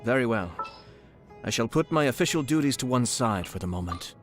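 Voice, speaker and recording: Male actor as refined game nobleman, sly amusement, formal measured speech, mid-pitched, faint music behind. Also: lively intonation, lightly acted. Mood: courteous, composed. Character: refined young lord, composed, quietly amused and sly. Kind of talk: scripted video game character monologue.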